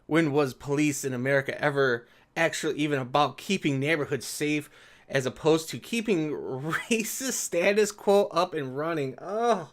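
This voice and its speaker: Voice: mid-range voice, moderate pace. A sarcastic, mocking commentator with an informal manner, reading aloud and reacting. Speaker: video commentator.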